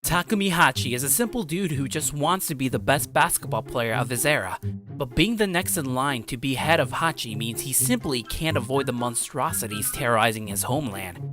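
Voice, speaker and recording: A man delivers scripted storytelling narration as the host of a story show. His voice sits at a middling pitch, with music underneath. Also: expressive, brisk.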